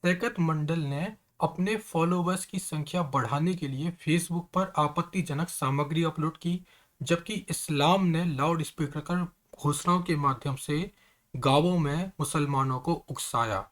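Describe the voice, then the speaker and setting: Earnest higher-voiced man explaining news. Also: a little slow. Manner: earnest, repeatedly addresses viewers as friends. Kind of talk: informal news explainer addressing viewers.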